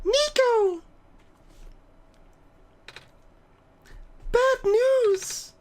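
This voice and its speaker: A squeaky, excitable little kid. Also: female voice, very slow, very noisy, exaggerated voice. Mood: alarmed.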